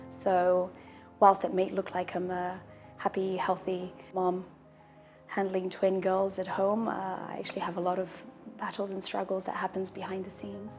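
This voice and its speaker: A woman in her thirties, a native Portuguese speaker, telling her personal story in a calm, measured way, with a husky voice and deep breaths between sentences. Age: 30s. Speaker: interviewee sharing a personal story. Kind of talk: personal testimonial.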